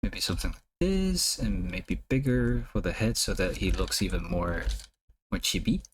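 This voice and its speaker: Male streamer, slightly low-pitched, relaxed and hesitant, restarts phrases while critiquing art. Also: a little hiss or hum. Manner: hesitant, halting, repeats words while thinking. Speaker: artist streamer giving art feedback. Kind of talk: casual live-stream chat.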